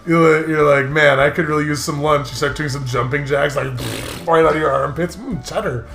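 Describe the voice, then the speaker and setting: A man's voice: on the high side, normal speed, vocal fry, a bit bright, reverberant, soft background music. A man co-hosting a casual comedy chat, giggly and rambling as he spins absurd hypotheticals. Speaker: podcast co-host.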